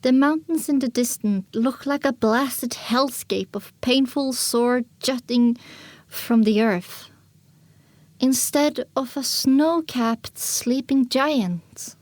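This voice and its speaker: A woman's voice: high-pitched, takes it slowly, darkish tone, no room sound. A woman narrating a dramatic audiobook in the first person as a young filly pony, reflective and scripted. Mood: reflective, somber. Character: young filly pony recounting her wasteland journey.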